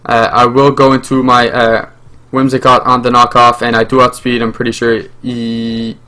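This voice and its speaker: A man's voice: medium pitch, monotone, moderate pace, bright, forceful tone, clear, resonant tone. Young french guy narrating his game live, lots of uhs and restarts, medium voice. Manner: rambling, many fillers and restarts. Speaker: gaming channel host.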